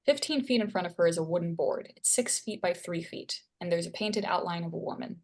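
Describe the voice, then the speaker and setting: A woman's voice: lowish voice, fairly quick, a little mellow. A woman reading stage directions aloud as scripted narration at a screenplay table read.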